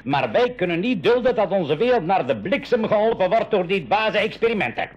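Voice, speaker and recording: A principled professor in a radio drama, high-pitched and very animated. He is formal, theatrical and ironically courteous as he stands his ground, on a dull old recording. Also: a little fast. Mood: defiant, sardonic. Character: principled, defiant professor and uncle leading young adventurers. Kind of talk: 1980s Dutch-language school radio play acting.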